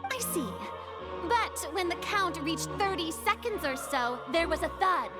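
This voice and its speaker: A woman's voice: very high-pitched, lively intonation, measured pace, over loud music. A woman voicing a vain, theatrical young noble who speaks with grandiose, showy courtroom flourish in a game cutscene.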